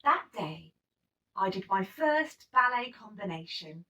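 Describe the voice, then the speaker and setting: A woman reading a children's story aloud in an expressive, emphatic storytelling manner. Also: mid-range voice, slow, far from the mic, lo-fi sound. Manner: animated, emphatic storytelling with acted-out dialogue. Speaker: storybook reader.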